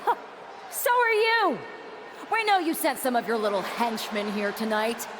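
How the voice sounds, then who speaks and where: A woman's voice: a little high, sing-song, measured pace, in a large hall, background noise. An actress playing a defiant, confrontational woman wrestler in a video game cutscene. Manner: confrontational, insulting.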